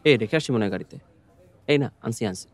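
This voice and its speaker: A man's voice: on the low side, animated, racing, background noise. A young man with a Bangladeshi accent playing a caring, slightly awkward friend in a short-film drama, with a hesitant, wordy way of talking. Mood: awkward, concerned. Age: young adult.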